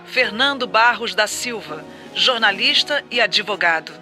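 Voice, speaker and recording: An actor playing a stern Brazilian official announcer in a radio drama, reading out a decree and names in clipped, solemn declamation. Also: male voice, high-pitched, lively intonation, unhurried, music in the background. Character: stern official announcer proclaiming a dismissal list.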